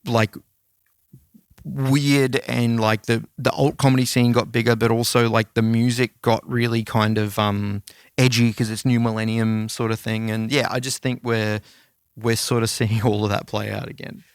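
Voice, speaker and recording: Guy in his 30s chatting on a podcast, clean smooth voice, loose n informal, dry sound. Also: medium pitch, flat delivery, normal speed, no room sound. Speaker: podcast host. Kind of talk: casual chat about comedy and culture.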